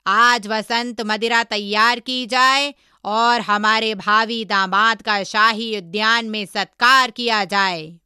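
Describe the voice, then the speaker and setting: A woman's voice: fairly high pitch, steady tone, takes it slowly, bright, forceful tone, clear, resonant tone, no room sound, clean studio sound, exaggerated voice. A woman voicing an imperious king in a storybook, giving royal orders in a declamatory, commanding manner.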